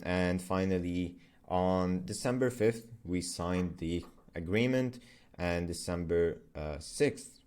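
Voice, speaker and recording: A man's voice: low voice, darkish tone. A young man whose first language is Arabic, talking casually through his business journey in a loose, filler-heavy way, often correcting himself. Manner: loose and conversational, many fillers and self-corrections. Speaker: agency founder vlogger. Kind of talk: casual explainer vlog.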